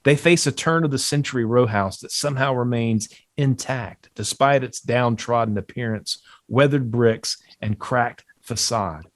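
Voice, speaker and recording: A man's voice: mid-range voice, measured pace, hardly any pauses, dry recording. A man reading stage directions as scripted narration at a table read.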